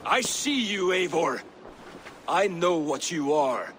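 A man's voice: on the high side, expressive, slow, fairly bright tone, a little hiss or hum. A man playing a proud, angry Viking warrior who accuses and defies, in dramatic video game acting. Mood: accusing.

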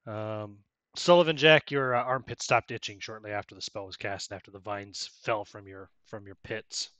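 A bearded middle-aged man in a small home room, narrating a tabletop game as game master on a headset mic with a chatty, relaxed manner. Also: fairly low pitch, moderate pace, dry recording. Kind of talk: narrating a tabletop game over a video call.

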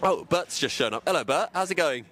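A man's voice: fairly high pitch, animated, brisk, fairly bright tone, slight background noise. A young man presenting and interviewing on a handheld mic, outdoors in a racing paddock. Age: young adult. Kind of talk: roving paddock commentary and interviews. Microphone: handheld microphone.

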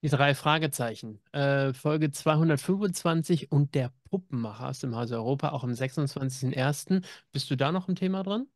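A man's voice: medium pitch, fairly quick, few pauses, dry recording, clean studio sound. A middle-aged man, co-hosting a casual chat and announcing new releases. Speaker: co-host. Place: home room with media shelves. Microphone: video call.

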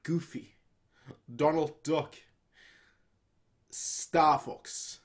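A man's voice: fairly high pitch, fairly even intonation, very slow. A man in his twenties giving casual, unscripted reaction commentary from a home room, rambling and repeating his phrases. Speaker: reaction video host. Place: small home room indoors.

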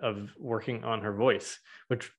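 A man in his thirties hosts the interview from home over a video call. He talks in a relaxed, halting way, restarting phrases and jumping in with questions. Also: on the low side. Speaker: podcast host. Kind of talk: casual interview chat. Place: home room. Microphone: video call with earbuds.

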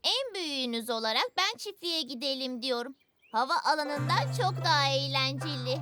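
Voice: sits high, lively intonation, normal speed, over music. An actor voicing a sweet, bossy little girl in a preschool cartoon dub, speaking in a cheerful, insistent singsong. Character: sweet, bossy little girl who loves farm animals. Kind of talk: dubbed preschool cartoon acting.

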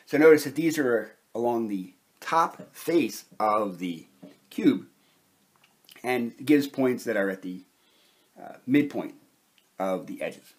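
Male lecturer, slightly rough husky tone, medium pitch, methodical step-by-step lesson delivery. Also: expressive, takes it slowly, long pauses. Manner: methodical, explanatory. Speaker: chemistry lecturer. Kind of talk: step-by-step whiteboard lesson narration.

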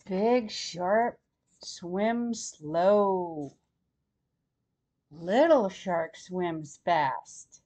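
A woman in her sixties reading a children's storybook aloud in a deliberate, expressive way for young listeners. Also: lowish voice. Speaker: grandmother host reading picture books to children. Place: small plain room at home, seated in armchair.

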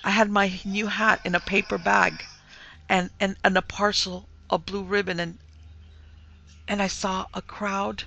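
A man's voice: high-pitched, fairly bright tone, voice pushed up. A man voicing a terrified girl in an audiobook, breathless, panting and stammering. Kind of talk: audiobook character acting.

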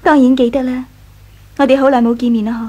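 A well-off young married lady in a 1980s radio drama. Her voice is high and soft-edged, polite and composed, with a Hong Kong accent. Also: flat delivery, fast talker. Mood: gentle, concerned. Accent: Hong Kong Cantonese. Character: gracious young married lady of a rich household, gentle, composed.

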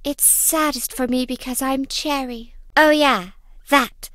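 An actress playing an excitable young woman who presents mock-dramatic gummy bear plays in a game. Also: high-pitched, slow pace, dry recording. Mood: playful. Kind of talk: playful theatrical play-acting.

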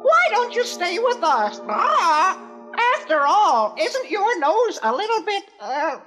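A woman's voice: very high voice, very animated, slow, sharp tone, heavily acted. A woman voicing a small, shy female canary who talks instead of sings, hesitant with many fillers. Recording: dull lo-fi record with music.

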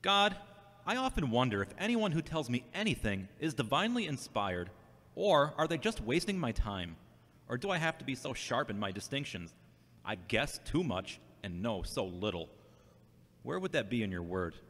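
A man's voice: mid-range voice, big pitch swings, moderate pace, fairly bright tone. A man acting an earnest, troubled and devout man in an amateur audio drama. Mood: pleading. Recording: echoey hall with long reverb.

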